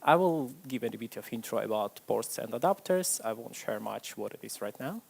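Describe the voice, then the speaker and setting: A man's voice: medium pitch, expressive, moderate pace, vocal fry, slight background noise. A man from Ukraine, giving a chatty, self-deprecating tech conference talk on stage to a live audience. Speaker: conference speaker.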